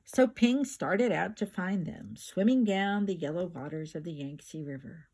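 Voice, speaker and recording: An older woman reading a children's picture book aloud like a grandmother, with expressive, drawn-out words and emphatic repetition. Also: on the low side, noisy background.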